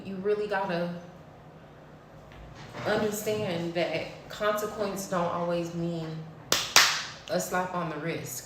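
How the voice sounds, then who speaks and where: A woman in her thirties talks in a blunt, preachy way about spiritual laws, swearing freely as she goes. Her voice sits a little low. She is recorded from a distance in a very echoey room, with faint background noise. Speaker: spiritual vlogger. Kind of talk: casual spiritual talk to camera.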